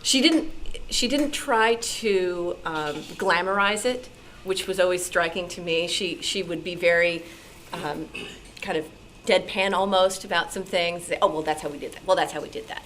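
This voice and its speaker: A woman from New York City telling personal anecdotes to a club audience in an animated way, acting out remembered dialogue. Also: on the low side, normal speed. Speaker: radio drama actress and sound effects performer, guest speaker. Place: meeting room with audience. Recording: noisy room at a club meeting.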